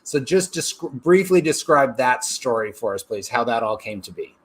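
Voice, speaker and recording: A man presents a show with a medium-pitched voice. He talks effusively as a host, leaning on superlatives as he introduces and questions. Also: expressive, moderate pace. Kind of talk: hosting an online interview show.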